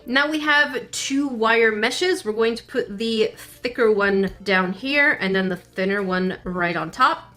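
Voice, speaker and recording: A woman's voice: a little high, a bit bright. A woman hosting a casual craft-kit test vlog from her home craft room, chatty and playful with jokey asides. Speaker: craft channel host.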